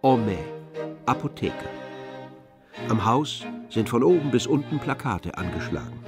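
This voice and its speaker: A man narrating a literary radio drama from a script in a measured, descriptive way. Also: mid-range voice, very animated, over music.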